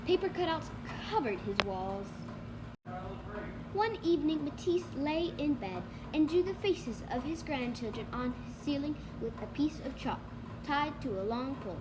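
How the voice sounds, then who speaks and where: A woman's voice: sits high, lively intonation, moderate pace. A female student reading a children's storybook aloud, with noise behind the voice. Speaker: student reader. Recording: clearly noisy background.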